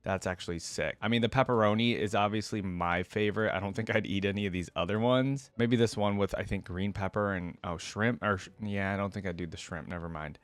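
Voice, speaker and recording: A man's voice: on the low side, no echo. A man giving casual, informal reaction commentary, talking in a slangy, enthusiastic way as the host.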